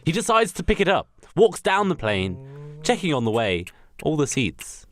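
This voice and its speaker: A man's voice: on the high side, big pitch swings, moderate pace. A man narrating an improvised comedy radio play in a theatrical, emphatic storytelling manner. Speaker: podcast narrator.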